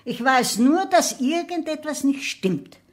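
A rather high female voice as a hospital nurse in a radio drama. She is mild and doubtful, yet quietly persistent. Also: lively intonation, measured pace. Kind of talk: radio play acting.

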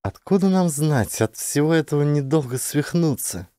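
A man's voice: on the high side, animated, moderate pace, dark tone, no echo, clean studio sound, voice pushed up, natural voice. A man voicing a wary, tense woman military commander in an audiobook. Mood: uneasy.

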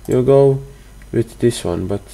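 A man narrating a screen-recorded software tutorial, halting and hesitant with many fillers. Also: medium pitch, creaky. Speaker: tutorial host.

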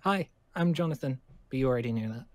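A man's voice: mid-range voice, lively intonation, quick pace, a little mellow, no echo, natural voice. A man playing a nervous, friendly boy in an improvised game roleplay, stammering and repeating words in bursts. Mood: nervous, hopeful. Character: nervous, eager, friendly lone boy living in abandoned school.

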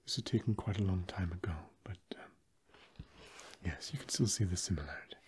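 Wistful old-world male vampire played by a man, low, soft, halting ASMR delivery, slight background noise. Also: natural voice. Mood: nostalgic. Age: young adult. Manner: hushed, halting, nostalgic. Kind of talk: soft-spoken ASMR roleplay.

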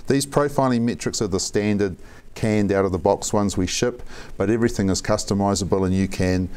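A man in his forties with a New Zealand accent, walking through a software demo methodically in a conference room. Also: on the low side, brisk, smooth. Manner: plain, methodical explaining. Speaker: software architect giving a demo. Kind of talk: live software demo presentation.

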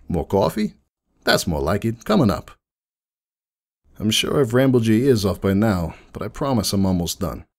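A man voicing a jaded, rueful middle-aged pony who runs a donut shop, rambling folksily in a confiding dramatic monologue with a gravelly voice. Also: lowish voice. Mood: bustling, chatty. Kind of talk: dramatic first-person monologue reading.

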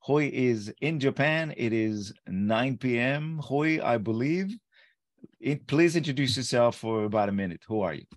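A man's voice: mid-range voice, lively intonation, unhurried, dry recording, studio quality. A man hosting a podcast, rambling and rephrasing his questions to a guest over a video call. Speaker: podcast host. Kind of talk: interview questions.

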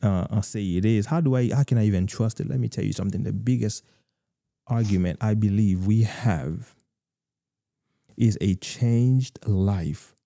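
A young man hosting a live faith talk show over a video call, preaching earnestly with many filler pauses, on a studio microphone. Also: fairly low pitch, creaky, mellow, dry recording. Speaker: host. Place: home room, video call. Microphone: studio mic with headphones.

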